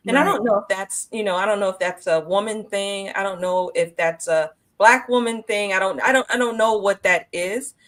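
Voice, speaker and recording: A woman leading a casual book discussion. She sounds reflective, musing aloud and leaning on "you know" as she goes. Her voice sits at an ordinary pitch for a woman. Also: normal speed, few pauses, a bit bright. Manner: reflective, asks guests questions, "you know" fillers. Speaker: discussion moderator.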